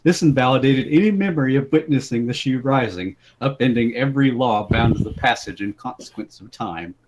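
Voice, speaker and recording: Male narrator, mid-pitched, theatrical dramatic reading of comic fiction. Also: brisk, a little mellow, slight background noise, rough recording. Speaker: reader of the story.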